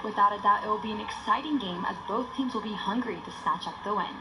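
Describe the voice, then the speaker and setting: Female sports narrator over clear noise. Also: medium pitch, fast talker. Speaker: sports news reporter. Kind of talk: scripted match preview narration. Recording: clear background noise behind voice.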